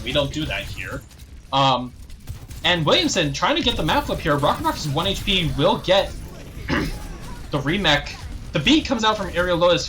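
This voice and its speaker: Bright-voiced young male esports caster. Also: a little high, slight background noise. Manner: rapid play-by-play, rising excitement on big plays, frequent throat clearing. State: slightly hoarse, thirsty. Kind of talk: live play-by-play commentary.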